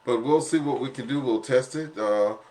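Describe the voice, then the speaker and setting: A man's voice: mid-range voice, moderate pace, darkish tone. A man chatting casually on an amateur radio net, explaining things in a rambling, folksy, plain-worded way. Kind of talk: casual chat.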